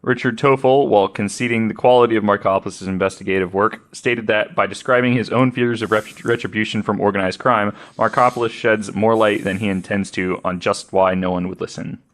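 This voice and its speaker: A man's voice: lowish voice, fairly even intonation, brisk, few pauses, fairly bright tone. A man hosting a podcast, reading researched notes aloud in an informal, conversational way. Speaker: podcast host.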